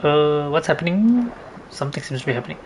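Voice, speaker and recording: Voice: medium pitch, lively intonation, fast, darkish tone, slight background noise. A gamer giving casual, informal play-by-play commentary, thinking aloud and swearing mildly now and then. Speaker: gameplay streamer.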